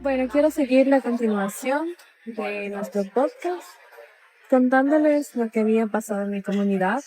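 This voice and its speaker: A young woman with an Ecuadorian accent giving an informal account as a podcast host, often pausing to search for words and breaking off mid-phrase. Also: on the high side, dark tone, soft background music, low-quality recording. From Otavalo, northern Ecuador. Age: young adult. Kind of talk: informal spoken account to listeners.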